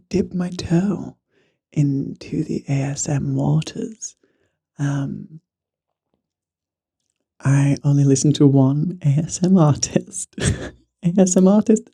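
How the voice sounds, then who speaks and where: A man who is losing his voice and sounds hoarse and raspy. He chats casually in an ASMR-style whisper, joking at his own expense and slipping between whisper and voice, into a handheld mic. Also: a little high, warm tone. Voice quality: raspy, guttural. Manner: chatty, self-deprecating, drifts between whisper and voice. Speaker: vlogger. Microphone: handheld microphone.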